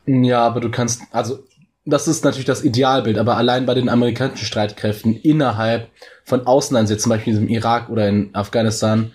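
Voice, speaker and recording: A man's voice: mid-range voice, level tone, fast, a little mellow. A man in a casual discussion, explaining his points as a co-host and searching for his words. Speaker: co-host of a discussion about a TV series.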